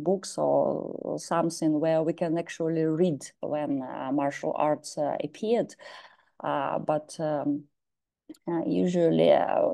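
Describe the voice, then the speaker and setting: A woman with a Russian accent, a non-native English speaker, giving a halting lecture from slides with frequent filler sounds and restarts. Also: deep voice, vocal fry, warm tone.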